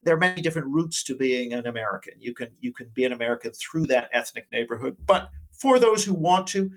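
A man's voice: medium pitch, expressive, fast talker. A male academic guest giving conversational, analytical interview answers, qualifying his points as he goes. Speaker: academic guest, politics professor.

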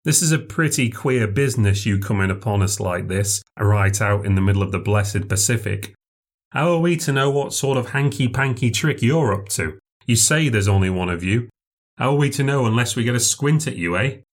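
A man's voice: lowish voice, animated, moderate pace. A man voicing a wary but good-hearted fisherman in an audio story, talking bluntly in old-time slang. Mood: suspicious.

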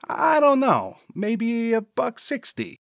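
Squeaky whiny sidekick dude, agitated and indignant, storybook character, really high voice. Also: male voice, wildly swooping pitch, slow, creaky, dry recording, studio quality, heavily acted. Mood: startled. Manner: agitated, protesting, incredulous. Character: panicky, whiny, foul-mouthed male sidekick, dim and indignant.

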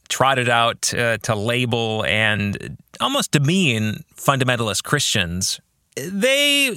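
A middle-aged man hosts a reflective podcast monologue on his own, speaking earnestly and deliberately and stretching phrases for emphasis. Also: medium pitch, sharp tone, no echo, studio quality. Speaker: podcast host and author. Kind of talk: reflective monologue about faith and fiction.

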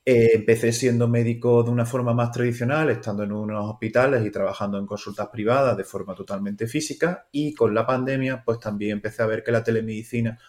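A man's voice: mid-range voice, steady tone, fast talker, few pauses, darkish tone, echoey room. A man from Málaga with a mostly neutral central Spanish accent and a slight Andalusian lilt, a doctor talking casually as an interview guest, loose and chatty with many restarts. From Málaga, Spain. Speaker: guest endocrinologist.

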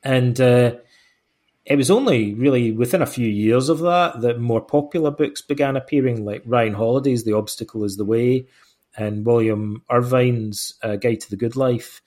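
Middle-aged Scottish author, mid-pitched, chatty and meandering, talks himself around his points. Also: moderate pace, dark tone. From Scotland. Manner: rambling, self-deprecating, many fillers and restarts. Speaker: author being interviewed. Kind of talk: relaxed conversational answers.

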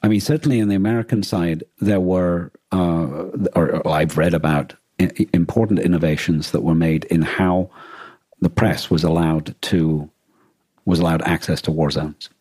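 Really deep husky guy chatting on a podcast, thoughtful, keeps stopping and rewording stuff. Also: fairly even intonation, darkish tone, no room sound. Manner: halting, thoughtful, rephrases often. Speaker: podcast co-host. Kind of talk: casual discussion of a radio drama and war.

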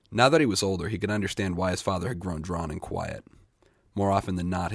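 A man's voice: low-pitched, gravelly, husky, dry recording, clean studio sound. A man reading literary short fiction aloud as a scripted narration. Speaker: short story reader.

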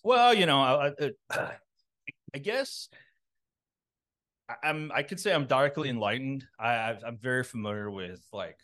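A man from a Los Angeles suburb, likely in his thirties, talking as a podcast guest in a halting, rambling way full of fillers and restarts. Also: medium pitch, lively intonation, fairly bright tone. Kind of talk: casual interview talk.

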